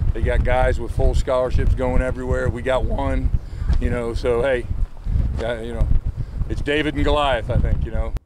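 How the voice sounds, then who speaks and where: Middle-aged male coach outdoors in noise. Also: mid-range voice. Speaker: high school football coach. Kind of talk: casual interview. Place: outdoor football field. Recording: clear outdoor wind and vehicle noise.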